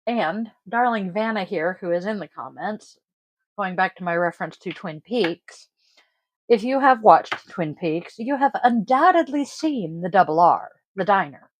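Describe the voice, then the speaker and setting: Woman, middle-aged, congested and sniffly, slow unhurried talk, dry close sound, tired rambling chat. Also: mid-range voice, animated, no room sound, rough recording. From Washington State, US. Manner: tired, rambling, trailing off with sighs. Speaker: tarot reader and livestream host. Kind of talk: casual livestream chat.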